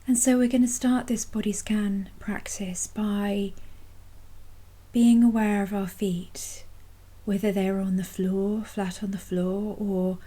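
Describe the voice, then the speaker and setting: A woman guides a meditation in a soft, mellow voice with a breathy, husky edge. She speaks very slowly and gently, leaving long pauses between phrases. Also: mid-range voice. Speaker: mindfulness practice guide. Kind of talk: guided body scan meditation.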